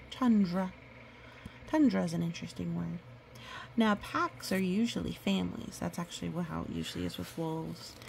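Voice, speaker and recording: A woman reading a picture book aloud to children, gentle and nurturing, with chatty asides. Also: on the low side, gravelly, slight background noise, low-quality recording.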